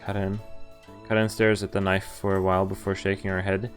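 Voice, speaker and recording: A man's voice: low-pitched, level tone, fairly quick. A man live-streaming a visual novel, reading it aloud and breaking into informal, sweary reactions. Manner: informal, sweary asides, stumbles over read lines. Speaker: game streamer. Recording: game music behind the voice. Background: visual novel music and voiced characters.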